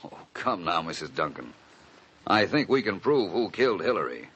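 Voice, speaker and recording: Shrewd, wry sleuth, very low voice with lively inflection, urbane; vintage radio mystery. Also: male voice, moderate pace, slightly rough, lo-fi sound, exaggerated voice. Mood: cool, pressing. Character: cool, shrewd, wry gentleman detective. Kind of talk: old-time radio detective drama acting. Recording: noisy old radio recording.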